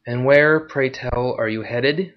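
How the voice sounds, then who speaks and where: A man's voice: medium pitch, takes it slowly, pitched above the performer's own voice, lightly acted. A man voicing a weary, guarded male war veteran who speaks tersely. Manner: terse and restrained. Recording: dull lo-fi recording.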